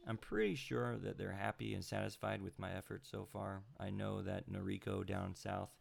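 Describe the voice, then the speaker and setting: A congested man with a deep, gravelly voice hosts a loose live stream. He rambles through his chat with plenty of fillers and little chuckles. He speaks into a computer mic with the gain turned up. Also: fairly even intonation, moderate pace. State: sounds congested. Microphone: home computer mic with high gain.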